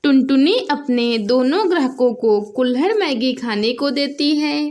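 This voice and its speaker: Children's cartoon narrator: woman, high voice, scripted storytelling delivery. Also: animated, measured pace, a little mellow.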